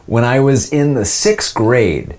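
A man's voice: mid-range voice, lively intonation, slow, darkish tone. A man in his late twenties with an American accent, telling stories casually in a rambling, self-deprecating way. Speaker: podcast host and voice actor. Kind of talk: casual conversational storytelling.

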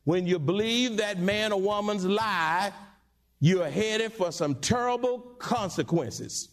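A male pastor preaching a sermon in church, with call-and-response and sudden high-pitched asides. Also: slow pace. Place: church sanctuary.

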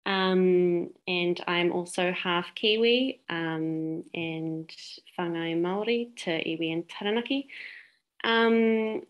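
A woman's voice: fairly low pitch, takes it slowly. A young woman from Greece, a youth climate activist, speaking in a heartfelt, hesitant way. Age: young adult. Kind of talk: interview answers.

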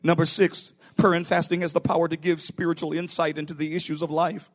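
A man's voice: fairly high pitch, flat delivery, fairly quick. A man preaching a sermon as a pastor, emphatic and declarative, appealing directly to his listeners. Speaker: pastor, bishop preaching to own church.

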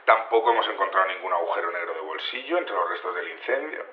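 An actor playing a matter-of-fact security investigator, explaining hesitantly over a phone-like radio link. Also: male voice, sits low, expressive, fast, a little hiss or hum. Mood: hesitant, earnest. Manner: hesitant, stumbling, earnest explaining. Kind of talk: news phone interview in audio fiction. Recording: roomy, narrow radio or phone line.

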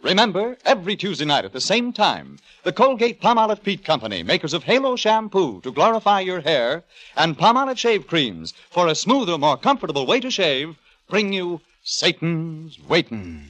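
A man's voice: a little high, big pitch swings, normal speed, fairly bright tone, faint music behind. A man announcing in a polished, emphatic 1950s radio commercial style.

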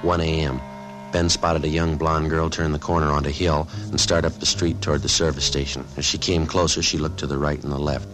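An actor playing a terse, businesslike police detective, narrating deadpan in the clipped style of 1950s radio drama. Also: male voice, rumbling low, a little fast, over music, poor audio. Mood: deadpan, factual. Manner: deadpan, matter-of-fact.

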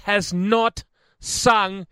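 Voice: mid-range voice, fairly even intonation, extremely slow, fairly bright tone, smooth, dry recording. A radio co-host delivering news in a teasing, matter-of-fact way. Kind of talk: casual radio banter.

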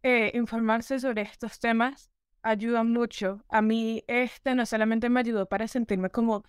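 A woman's voice: fairly high pitch, fairly even intonation, measured pace, clean tone, dry recording, clean studio sound. A teenage girl from Venezuela answering in an interview, hesitant and searching for words. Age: teens. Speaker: guest.

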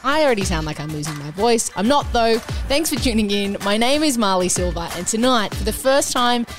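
A woman's voice: a little high, lively intonation, a little fast, over music. A woman hosting a sports podcast in a casual, upbeat, chatty way. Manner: upbeat, chatty, sings parts of the intro. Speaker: podcast host.

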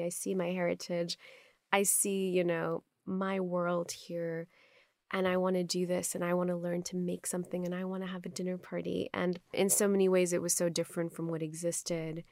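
A woman from Pakistan whose first language is Urdu speaks with a low, gravelly voice. She answers interview questions earnestly, stringing phrases together in long flowing lists. The sound is dry and close. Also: dry recording. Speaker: company founder, guest.

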